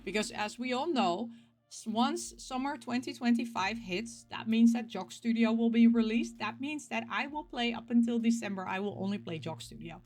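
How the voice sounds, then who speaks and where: A woman hosting a casual video about games, talking in an expressive, rambling way and repeating words for emphasis. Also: fairly high pitch, normal speed, faint music behind. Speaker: host of a game collecting show. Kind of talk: casual chat, showing game pick-ups.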